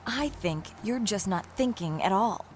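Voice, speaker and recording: An actress playing a sharp-tongued, suspicious young female warrior in a fantasy game, wry and pointed in delivery. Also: mid-range voice, lively intonation, measured pace, slight background noise, close to an everyday voice. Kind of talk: scripted fantasy game acting.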